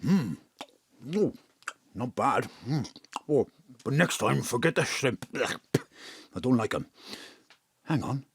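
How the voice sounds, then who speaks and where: A man's voice: mid-range voice, lively intonation, slow pace, long pauses, slightly rough, no echo, heavily acted. A man acting in an audio drama as a roguish, selfish thief of a father, with a Welsh accent and a colloquial, blustering, streetwise way of talking. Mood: fond, wily.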